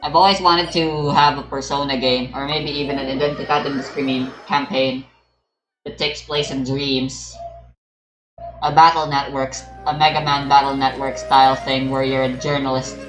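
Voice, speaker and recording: A woman's voice: rumbling low, flat delivery, normal speed. A woman giving casual, rambling, offhand commentary as she streams a video game. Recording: roomy sound with game music behind. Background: video game music.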